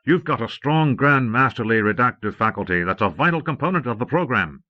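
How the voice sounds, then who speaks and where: A man's voice: mid-range voice, lively intonation, fairly quick, no room sound, pitched above the performer's own voice, close to an everyday voice. A man reading an audiobook, playing a commanding, impatient magnate with an exasperated, articulate manner. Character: commanding, impatient magnate browbeating his younger-looking brother. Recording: muffled old cassette audiobook transfer.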